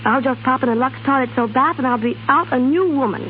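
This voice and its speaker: A woman's voice: sits high, fast, close to an everyday voice. An actress playing a young salesgirl, eager and dramatic, in a 1930s radio commercial. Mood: bright, eager. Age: young adult. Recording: hissy, narrow-band 1930s radio recording.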